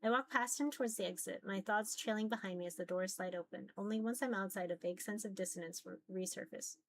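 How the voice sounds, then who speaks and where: A woman's voice: medium pitch, fast talker, fairly bright tone, slight background noise. A woman reading a visual novel aloud as dramatic narration.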